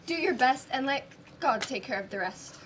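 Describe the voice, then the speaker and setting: Casting: young woman as supportive, earnest student friend, very high voice, stage play picked up from afar. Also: expressive, moderate pace, fairly bright tone. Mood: earnest, encouraging. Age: teenager or young adult student performer. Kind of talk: amateur stage play acting. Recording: distant, noisy live stage recording.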